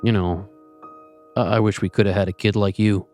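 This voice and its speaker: A man's voice: fairly low pitch, fairly even intonation, moderate pace, a little mellow, faint music behind, close to an everyday voice. An actor playing a wry, grumbling older male adventurer in an audio play. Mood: wistful.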